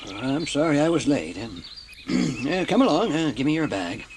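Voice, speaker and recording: Shy, halting old farmer, swooping pitch. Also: male voice, very animated, slight background noise. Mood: bashful. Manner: halting, bashful, fumbling for words. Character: shy, kindly, hesitant sixty-year-old farmer. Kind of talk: audiobook dramatisation acting.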